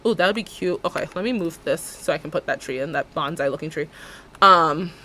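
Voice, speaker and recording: Woman, young adult, deep alto voice, rambling, laughs a lot, casual stream chat. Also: brisk, bright, forceful tone. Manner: rambling and chatty, laughs often, talks to chat. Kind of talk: casual chat while live-streaming a building game.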